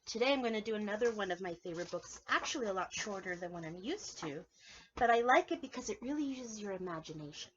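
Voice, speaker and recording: A woman preschool teacher reading a storybook aloud to young children in an animated, instructive way, often putting questions to her listeners. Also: mid-range voice, quick pace, lo-fi sound, slight background noise.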